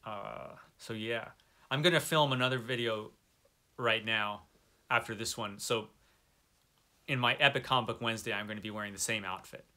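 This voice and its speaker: A man's voice: fairly low pitch, normal speed, bright, forceful tone. A man hosting a book vlog in casual, unscripted chat, informal and self-deprecating, drawing out words for emphasis. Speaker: book vlogger, channel host.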